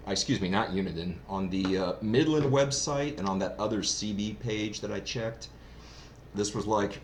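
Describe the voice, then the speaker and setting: A man giving a casual, unscripted gear review in a rambling, explanatory way, like a hobbyist who is new to it. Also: deep voice. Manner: rambling, explanatory, self-described novice. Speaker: product reviewer. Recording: roomy echo, clear background noise.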